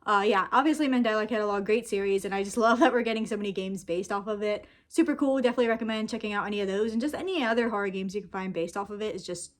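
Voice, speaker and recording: A woman's voice: medium pitch, racing, hardly any pauses. A young adult woman hosting a casual, rambling vlog, talking informally with lots of slang and filler words. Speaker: video host. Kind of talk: casual chatty rant-style vlog.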